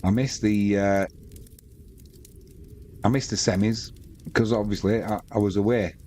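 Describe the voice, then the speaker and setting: A man's voice: lowish voice, steady tone, a little slow, warm tone, slight background noise. A man with a Manx accent hosting a live sports stream, chatting casually and reading out viewer comments between bits of match commentary.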